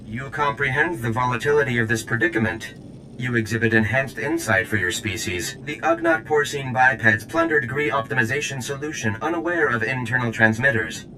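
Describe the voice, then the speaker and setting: A man voicing a stiff, haughty alien operator in a video game, speaking in stilted formal phrases with deliberate delivery. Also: fairly low pitch, lively intonation, background noise, close to an everyday voice. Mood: formal, detached. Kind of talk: video game character acting.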